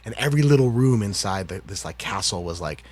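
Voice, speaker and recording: A male co-host with a husky, slightly low voice chats eagerly and keeps jumping in with questions and stories. His talk is loose and full of fillers. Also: expressive, fast talker, a little mellow. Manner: eager, chatty, jumps in with questions. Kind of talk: casual interview chat.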